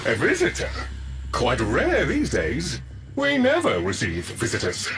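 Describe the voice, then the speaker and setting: An actor playing a fussy, polite machine butler in a game, prim, precise and patronising. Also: male voice, medium pitch, wildly swooping pitch, measured pace, vocal fry, over music, exaggerated voice. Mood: prim, apologetic. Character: fussy, polite male robotic butler intelligence. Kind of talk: video game character acting.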